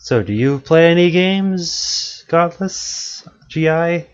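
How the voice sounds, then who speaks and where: A man's voice: fairly high pitch, expressive, takes it slowly, smooth. A man hosting a live-stream interview, talking in a dry, matter-of-fact way. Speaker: stream host. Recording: dull lo-fi stream audio.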